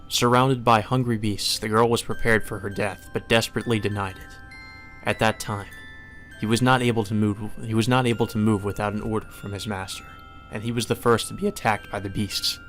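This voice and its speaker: A man reading a visual novel aloud as dramatic, solemn and measured narration. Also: lowish voice, steady tone, a bit bright. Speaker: narrator, let's play reader. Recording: music behind the voice.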